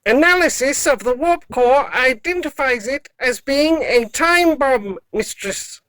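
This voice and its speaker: A woman's voice: high voice, expressive, measured pace, a bit bright, no room sound. A woman voicing an obedient robot dog in an audio drama, formal, clipped and emotionless. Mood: matter-of-fact.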